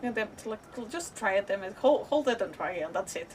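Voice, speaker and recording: A woman's voice: medium pitch, animated, quick pace, a little mellow, slight background noise. A woman streamer giving casual, rambling, mildly sweary live commentary as she reacts to a game. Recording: distant mic, faint game music. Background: faint game music.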